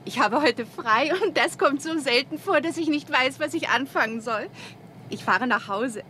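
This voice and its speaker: Seductive, high-voiced Hollywood film star. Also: female voice, moderate pace, sharp tone. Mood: breezy, inviting. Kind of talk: 1960s German radio crime drama acting. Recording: 1960s radio recording, faint background noise.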